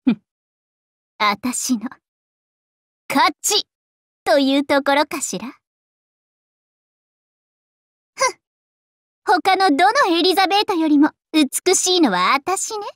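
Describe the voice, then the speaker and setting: Super high girly anime game dragon princess, vain and playful, voice swooping everywhere, dry recording. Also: lively intonation, measured pace, long pauses, a bit bright, no room sound. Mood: smug. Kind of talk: Japanese anime game character voice lines.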